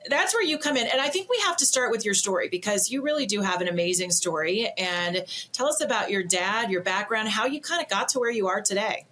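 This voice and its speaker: A woman's voice: mid-range voice, expressive, fairly quick, few pauses, fairly bright tone, a little hiss or hum. A woman hosting a podcast in a chatty, informal, effusive and emphatic way. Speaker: podcast host.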